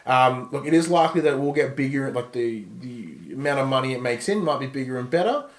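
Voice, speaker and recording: A man's voice: mid-range voice, big pitch swings, fast. Australian man explaining things in an echoey room. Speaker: podcast host. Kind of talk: casual film discussion. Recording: echoey, roomy sound.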